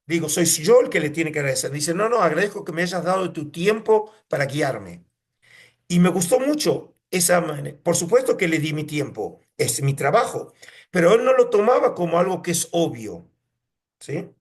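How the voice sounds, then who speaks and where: A man's voice: a little high, big pitch swings, normal speed, husky. A man with an Argentine accent telling anecdotes in conversation as an interview guest, in an emphatic way with frequent tag questions. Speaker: museum curator, interview guest.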